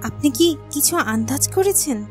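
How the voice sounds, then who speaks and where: A caring village mother, played by a woman, speaks in a high voice with affectionate, cautious warnings. It is cartoon dialogue with faint music underneath. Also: animated, moderate pace. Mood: cautious, worried. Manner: gentle warnings, affectionate address.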